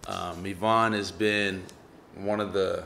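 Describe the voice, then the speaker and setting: A man hosting a casual interview show, talking in relaxed slang with hype in his voice. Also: sits low, takes it slowly, creaky, slight background noise. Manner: hyped, laid-back slang talker. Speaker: podcast host.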